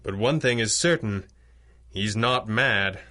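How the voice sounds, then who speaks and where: A composed, dry masked crime-fighter. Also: male voice, on the low side, sharp tone. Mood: thoughtful. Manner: measured, articulate, dryly understated. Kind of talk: radio drama acting, pulp adventure serial.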